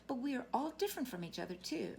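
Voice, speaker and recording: A woman reads a children's book aloud in a fairly high voice that rises and falls in a very lively way. She phrases deliberately, like a teacher addressing young listeners. There is faint noise behind her. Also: creaky. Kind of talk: expressive children's read-aloud narration.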